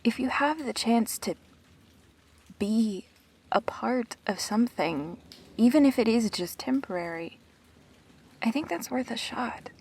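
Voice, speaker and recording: A woman acting an earnest, guarded immortal best friend in an audio drama, speaking in measured, reflective phrases with pauses. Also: a little high, animated, a little mellow, slightly rough, heavily acted. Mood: earnest, persuasive.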